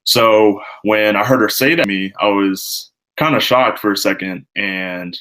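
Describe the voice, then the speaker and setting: Young male student guest, very low and bright-toned, easygoing rambling talk. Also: a little slow, poor audio. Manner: relaxed, rambling with many fillers. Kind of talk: casual conversation.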